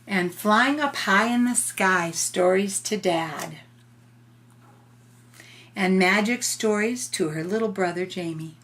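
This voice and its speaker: An older woman reads a picture book to a child at a slow, unhurried pace. Her voice sits at a medium pitch, and she sounds like a grandmother, gently explaining things and adding informal asides.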